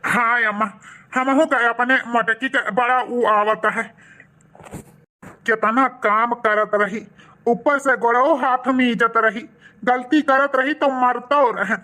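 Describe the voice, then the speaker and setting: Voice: mid-range voice, steady tone, takes it slowly, bright, forceful tone. A voice actor playing a remorseful village husband and son in a cartoon, earnest and humbly pleading, with an Awadhi accent.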